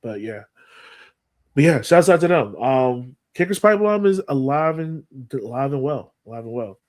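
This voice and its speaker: A man hosting a sports podcast in casual chat, animated and often repeating phrases for emphasis. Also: mid-range voice, moderate pace. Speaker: podcast host.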